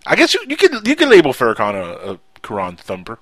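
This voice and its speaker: A man with a Cuban accent hosting a casual radio talk show, animated and quick to raise his voice. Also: medium pitch, no room sound. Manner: animated, quick to jump to loud outbursts.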